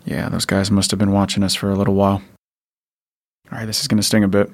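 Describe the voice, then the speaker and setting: A man's voice: lowish voice, completely flat delivery, quick pace. A man playing a weary, protective survivor father in an audio drama, plain-spoken and earnest. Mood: quiet, caring. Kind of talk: naturalistic audio drama acting.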